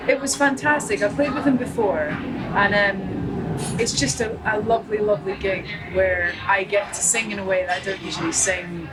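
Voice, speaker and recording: A woman from Scotland with a Scottish accent, a musician guest chatting in an animated way and laughing easily. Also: mid-range voice, normal speed, over loud music, low-quality recording. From Scotland (St Andrews). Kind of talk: casual chat. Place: busy public spot in Los Angeles. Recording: loud crowd chatter behind.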